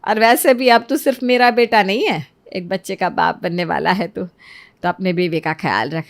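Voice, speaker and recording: Woman voicing self-sacrificing middle-aged mother, emotional, soft-hearted, Hindi cartoon drama. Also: mid-range voice, moderate pace, gravelly, lightly acted. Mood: gentle resignation. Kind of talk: Hindi moral-story cartoon dialogue.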